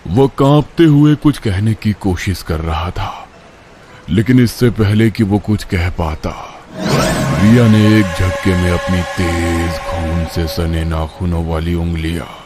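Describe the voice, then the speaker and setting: A man's voice: sits low, takes it slowly, loud music behind the voice. A man narrating a scripted horror story as its storyteller.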